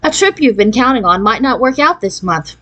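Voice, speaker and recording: A woman's voice: on the high side, lively intonation, fairly quick, fairly bright tone, voice pushed up. Woman acting out a breezy, show-off Californian girl. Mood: confident, advising. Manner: breezy, casually boastful. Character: trendy, self-assured thirteen-ish Californian girl, show-off. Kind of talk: children's storybook character voice.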